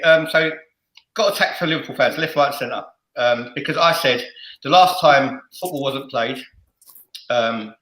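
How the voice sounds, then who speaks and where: Middle aged bloke hosting a footy fan show, sharp bright voice, stammery and sweary, echoey room. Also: male voice, mid-range voice. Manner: stammering repetitions, jokey, crude, sweary. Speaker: host of a football fan show. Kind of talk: casual, bantering fan chat. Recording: roomy, echoey sound.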